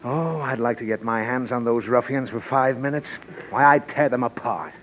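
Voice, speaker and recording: A man's voice: mid-range voice, moderate pace, fairly bright tone, close to an everyday voice. An actor playing an exasperated father who blusters and lectures his child, in 1940s radio comedy acting. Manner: blustering, lecturing, easily flustered. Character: exasperated middle-aged father. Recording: dull old radio broadcast, faint hiss.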